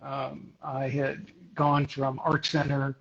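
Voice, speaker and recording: A middle-aged man from Houston, a guest photographer, talking hesitantly with many pauses and restarts in a live interview over a video call. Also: medium pitch, completely flat delivery, creaky, a bit bright, slightly rough, dull audio. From Houston, Texas.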